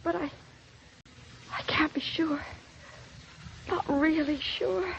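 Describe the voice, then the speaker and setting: A woman's voice: high-pitched, fairly even intonation, takes it slowly, gravelly, heavily acted. Plucky but anxious detective's girl assistant, earnest, 40s radio play, hissy muffled old recording. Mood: anxious, shaken. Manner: earnest, emotive. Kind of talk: 1940s radio drama acting.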